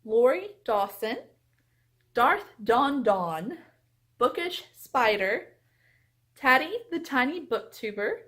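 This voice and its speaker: A woman book vlogger chatting casually and reading aloud in an animated, expressive way. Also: fairly high pitch, takes it slowly, pauses often.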